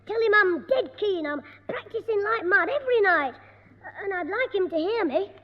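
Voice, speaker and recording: A cheeky, shrill schoolboy. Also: male voice, expressive, moderate pace. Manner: cheeky, wisecracking. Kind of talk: 1960s radio sitcom acting. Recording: dull echoey 1960s radio recording.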